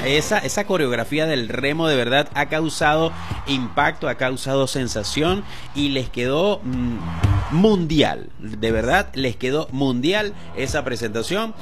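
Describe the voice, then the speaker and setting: A man's voice: mid-range voice, fairly bright tone. A man with a Venezuelan accent co-hosting a talk show in casual, colloquial commentary, often repeating phrases for emphasis.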